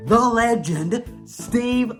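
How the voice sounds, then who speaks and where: A young Australian vlogger with a mid-pitched voice talks in a loose, slangy ramble, tripping over restarts and poking fun at themself. Faint music sits low behind the voice. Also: expressive, extremely slow. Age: young adult. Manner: rambling, slangy, self-mocking, lots of restarts. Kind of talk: casual nostalgic rant to camera.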